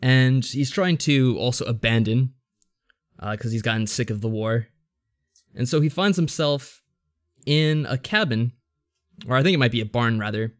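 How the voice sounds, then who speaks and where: A man's voice: mid-range voice, normal speed, long pauses. A man co-hosting a podcast, giving a casual review in a rambling, slightly hesitant explanatory way. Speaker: podcast co-host.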